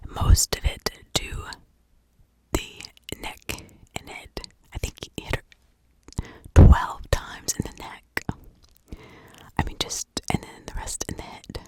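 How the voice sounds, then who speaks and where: A woman doing ASMR true-crime storytelling in a breathy whisper, chatting from memory with dramatic emphasis on key words. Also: a little mellow. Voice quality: breathy, unvoiced whisper with creaky edges.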